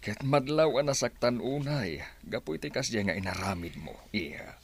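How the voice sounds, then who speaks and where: A man's voice: medium pitch, very animated, moderate pace, slight background noise, close to an everyday voice. An actor playing a concerned, questioning middle-aged father in a radio drama, with a Filipino accent.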